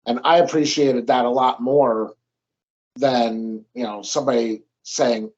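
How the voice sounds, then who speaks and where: A man's voice: mid-range voice, steady tone, measured pace, a little mellow. A young man, a new father, talking casually and reflectively on a podcast, rambling with lots of fillers. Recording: dull lo-fi sound.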